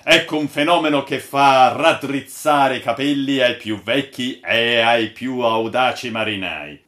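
A man's voice: medium pitch, pushed and bright, pitched above the performer's own voice. A man voicing a boastful, sardonic old sailor in a storybook, telling tales in a grandiloquent, dramatic way. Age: older.

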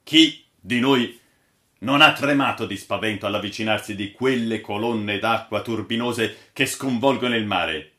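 A man's voice: fairly low pitch, unhurried, sharp tone. A man voicing a boastful, sardonic old sailor in a storybook, telling tales in a grandiloquent, dramatic way. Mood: grandiose, dramatic. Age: older.

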